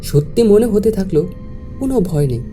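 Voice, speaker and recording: A woman's voice: mid-range voice, big pitch swings, moderate pace, warm tone. A woman reading a scripted first-person horror story as its narrator. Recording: music behind the voice. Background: music.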